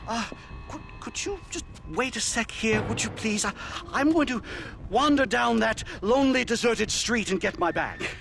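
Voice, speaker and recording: An actor playing a nervous, pompous, cowardly professor in a video game, stammering and wordy. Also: male voice, extremely high pitch, animated, moderate pace, creaky, fairly bright tone, faint music behind, full character voice. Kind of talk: video game cutscene acting.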